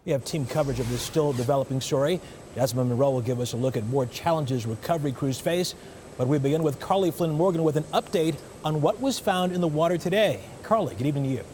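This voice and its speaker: A man's voice: fairly high pitch, quick pace, a little mellow, slight background noise. A man presenting the news as an evening anchor in a broadcast style.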